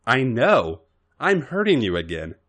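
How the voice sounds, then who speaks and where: A man's voice: medium pitch, huge pitch swings, measured pace, a bit bright, full character voice. A man voicing a bossy, impatient woman who can turn tender, as dialogue in a story reading. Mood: stern.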